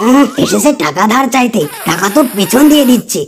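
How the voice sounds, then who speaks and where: Creaky, scolding cartoon tigress. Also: female voice, on the high side, expressive, brisk. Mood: angry. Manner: scolding, sarcastic. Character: short-tempered, abusive mother tigress, cartoon.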